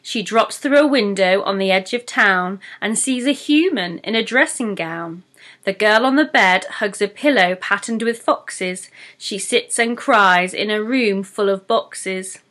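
A woman's voice: medium pitch, a little slow, a bit bright. A young woman, reading a rhyming children's story aloud expressively like a primary school teacher.

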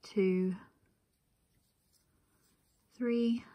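A woman's voice: mid-range voice, steady tone, extremely slow, mellow. A woman narrating a step-by-step craft tutorial as the instructor, methodical and counting steps aloud.

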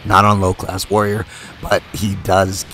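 A man's voice: deep voice, a little slow, faint music behind. A man casually commenting on a mobile game as he plays it, thinking aloud. He is losing his voice from being a little sick. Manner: relaxed, thinks aloud with fillers while playing. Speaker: mobile game video creator.

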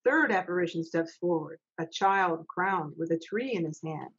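A middle-aged woman in a home office, reading stage directions for a video-call play reading and briskly keeping the young readers on track. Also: on the low side, lively intonation, background noise. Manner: brisk, practical, coaxing the young readers along. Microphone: laptop mic on a video call. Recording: dull lo-fi video call audio.

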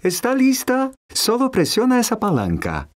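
A woman's voice: on the high side, huge pitch swings, normal speed. A woman voicing a friendly, playful parent in a children's cartoon, upbeat and explanatory.

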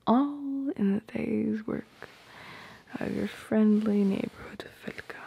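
A woman voicing a tender, playful, possessive girlfriend in an ASMR roleplay, speaking softly and intimately close to the microphone in a breathy, often whispered voice. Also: a little high, dull audio, slight background noise. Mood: playful, affectionate. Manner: soft, intimate, unhurried, teasing. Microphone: close microphone, dry.

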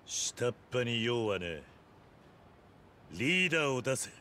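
A man's voice: mid-range voice, sing-song, a little slow, bright, forceful tone, a little hiss or hum. A man voicing a grim, stoic, menacing ex-yakuza in a video game, speaking tersely and commandingly. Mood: cold, menacing. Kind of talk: video game cutscene voice acting.

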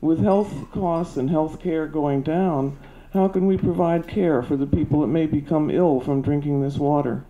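An older woman with a soft voice giving an earnest, halting public comment at a podium into a handheld mic. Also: sits low, muffled recording. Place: meeting hall at a podium. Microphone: handheld microphone.

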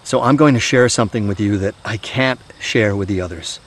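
An actor playing a weary, haunted middle-aged soldier and gentle father in an audio drama, halting and confiding. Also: fairly low pitch. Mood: earnest, confiding. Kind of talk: naturalistic audio drama acting. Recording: steady outdoor night-insect noise.

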